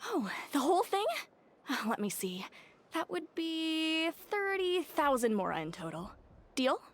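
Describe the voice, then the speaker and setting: High-voiced eager shopkeeper. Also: female voice, sing-song, measured pace, full character voice. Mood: surprised. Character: eager, helpful young female shopkeeper, easily flustered. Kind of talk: video game voice acting.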